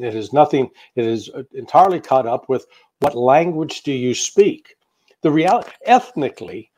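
An American man answering interview questions as a guest analyst, speaking in measured phrases with frequent pauses, fillers and restarts. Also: medium pitch, mellow. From United States. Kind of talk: interview commentary.

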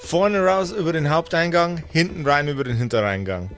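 Male game master narrating over clear music. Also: a little high, fairly quick, a bit bright. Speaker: game master of a pen and paper podcast. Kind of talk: improvised scene narration for an audio role-play. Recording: music bed behind the voice. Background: background music.

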